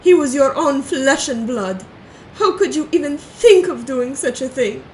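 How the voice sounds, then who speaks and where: A woman voicing a distraught young woman who shouts accusations at a killer in an amateur radio drama. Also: lively intonation, a little slow, slight background noise. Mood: outraged. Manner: shrill, outraged shouting. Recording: echoey room.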